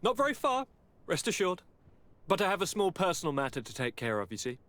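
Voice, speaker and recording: A breezy young aristocrat from a video game. The voice is fairly high and swings widely in pitch. He is courtly and affectionate, airily brushing things aside with theatrical polish. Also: sing-song, fairly quick, a bit bright, no echo. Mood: breezy, evasive. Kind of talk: dramatic video game acting.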